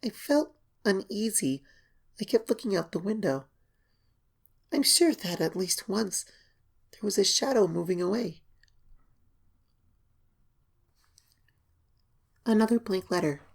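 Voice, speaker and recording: A woman voicing an anxious young pony filly, reading her diary as an expressive, hesitant horror story narration. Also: mid-range voice, moderate pace, vocal fry, breathy edge. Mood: uneasy. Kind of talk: dramatic first-person horror story reading.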